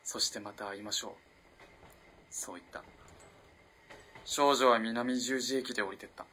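An actor playing a polite, earnest young student in a radio drama, telling a story in a measured, musing way. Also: male voice, mid-range voice, steady tone, bright, forceful tone, husky. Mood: storytelling. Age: young adult.

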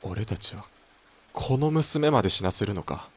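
A man playing a young sniper who has deserted, speaking in a low, steady, plain way. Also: normal speed. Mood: pleading. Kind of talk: amateur radio drama acting.

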